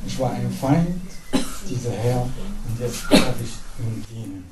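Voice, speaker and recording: A middle-aged man from Syria with an Arabic accent, a pastor giving an earnest, halting evening talk to a congregation. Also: medium pitch, lively intonation. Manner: earnest, halting, with pauses and fillers. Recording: echoey hall, distant mic, faint noise.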